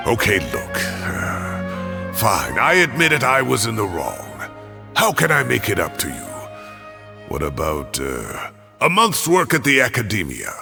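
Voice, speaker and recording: An actor playing a blustering, theatrical old scholar in a video game. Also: male voice, lowish voice, wildly swooping pitch, a little slow, vocal fry, music in the background, heavily acted. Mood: sheepish. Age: older. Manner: theatrical, hammy.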